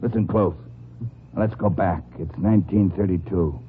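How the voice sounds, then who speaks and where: A man's voice: deep voice, normal speed, lightly acted. An actor playing a sneering, bullying gangster in a 1950s radio crime drama, with slangy tough-guy talk. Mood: sly, sneering. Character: menacing, sneering male gangster, bullying and impatient. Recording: muffled, noisy old 1950s radio recording.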